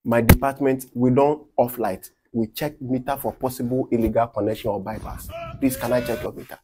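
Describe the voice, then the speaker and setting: A man playing a young electricity meter inspector in a comedy skit, exclamatory and quick to swing between deference and scorn. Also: mid-range voice, fairly even intonation, a little mellow, slight background noise, lightly acted. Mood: meek, apologetic. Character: meek young meter inspector who turns scornful and indignant.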